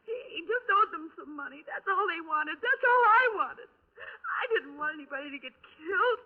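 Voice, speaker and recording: A very high, tearful young American woman, pleading and insisting in desperate denial. The sound is a narrow 1950s radio recording with a long reverberant tail. The style is vintage crime melodrama. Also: animated, moderate pace. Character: young blonde gangland bait, frightened, desperate, in denial.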